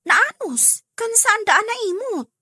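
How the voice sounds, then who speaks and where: A woman's voice: very high voice, sing-song, slow pace, bright, forceful tone, dry recording, studio quality, exaggerated voice. A woman playing a friendly, persuasive neighbour in an Ilocano radio drama, coaxing in a conversational way.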